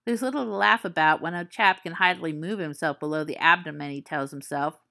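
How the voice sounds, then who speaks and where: A woman with a fairly low voice reads a story aloud, calm and measured. Her delivery is soft and steady, in the manner of an audiobook. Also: vocal fry. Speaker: podfic reader. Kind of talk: audiobook reading of fan fiction.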